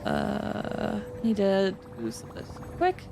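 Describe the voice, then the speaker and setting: A woman hosting a game livestream, chatting casually with viewers in an expressive, excitable way and often repeating phrases for emphasis. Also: medium pitch, slow pace, gravelly, over music. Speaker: game streamer and host.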